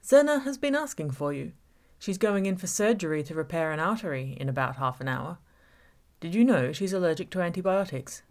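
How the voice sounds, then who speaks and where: A woman's voice: fairly low pitch, animated, fairly quick, a little mellow, voice pushed up. A woman voicing a taunting, manipulative male villain in an audiobook, gloating and mock-reasonable. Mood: gloating menace. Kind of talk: audiobook character voice.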